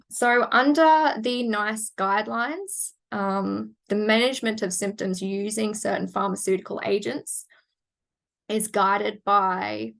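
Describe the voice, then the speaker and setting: A woman, presenting medical research in a measured, technical way, with frequent fillers and restarts. She is losing her voice and sounds hoarse. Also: mid-range voice. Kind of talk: academic webinar presentation.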